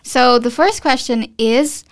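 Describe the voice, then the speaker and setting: A woman's voice: fairly high pitch, slow, over loud music. A twenty-year-old woman co-hosting a student podcast, asking questions hesitantly and murmuring agreement. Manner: hesitant, frequent affirming murmurs. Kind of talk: casual chat.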